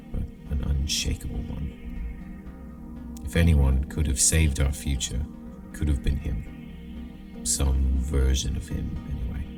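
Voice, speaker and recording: An actor playing a grave, remorseful leader dictating a personal log in clipped, deliberate phrases. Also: male voice, a bass voice. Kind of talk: audio drama monologue. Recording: distant voice under loud music.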